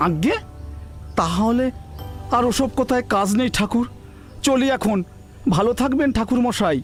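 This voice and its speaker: A man's voice: very high-pitched, a little slow, faint music behind. A man playing a chatty village neighbor who talks deferentially to the priest. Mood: polite. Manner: deferential, polite.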